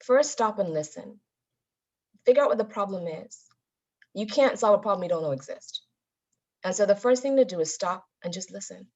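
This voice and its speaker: A woman giving a motivational public talk as a guest speaker, with a deliberate, emphatic, instructive delivery. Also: medium pitch, lively intonation, a little mellow. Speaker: guest speaker, Toastmasters member. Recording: dull, lo-fi audio.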